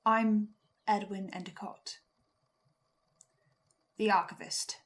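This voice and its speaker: A woman's voice: medium pitch, steady tone, natural voice. A woman playing an uneasy archivist hosting a horror broadcast, hesitant and stammering as they confide in listeners. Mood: uneasy, wondering. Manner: hesitant, stammering, slow and reflective. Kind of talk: confessional horror video monologue.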